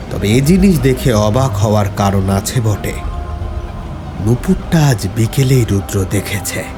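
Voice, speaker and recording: A man narrating a scripted horror story in a dramatic, suspenseful way. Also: lowish voice. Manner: suspenseful, expressive.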